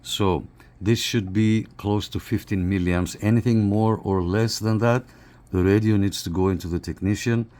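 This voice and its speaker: A man gives an unscripted, explanatory product walkthrough as a reviewer, speaking in short phrases with pauses between them. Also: on the low side, steady tone, darkish tone. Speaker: radio reviewer. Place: living room in an apartment.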